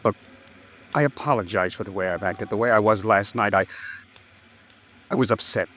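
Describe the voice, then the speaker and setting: A man playing a hot-tempered, defensive fellow in a 1950s radio drama, with halting bluster. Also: on the low side, a bit bright, a little hiss or hum, close to an everyday voice. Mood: shocked, upset.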